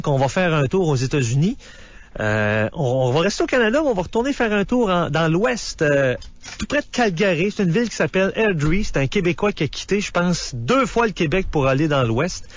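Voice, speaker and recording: A man's voice: on the high side, very animated, a little fast, a little hiss or hum. A man with a Quebec French accent hosting a radio show, interviewing a caller in a casual, curious way with many fillers. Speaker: radio host. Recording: dull lo-fi radio broadcast.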